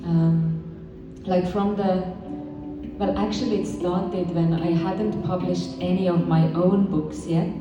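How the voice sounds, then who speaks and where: Estonian woman poet talking slow and dreamy, lots of pauses, dull echoey hall sound from far away. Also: lowish voice, steady tone, over music. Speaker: poet reading at a poetry night. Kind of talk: spoken introduction and poetry reading. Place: live event hall with handpan music. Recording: distant, reverberant, dull hall recording.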